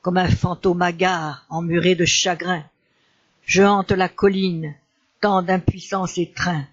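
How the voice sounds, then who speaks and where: A man's voice: sits high, level tone, slow, dull audio. A man reciting a poem as a grieving, solemn enchanter haunted by war, in a declamatory and mournful manner. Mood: mournful, anguished.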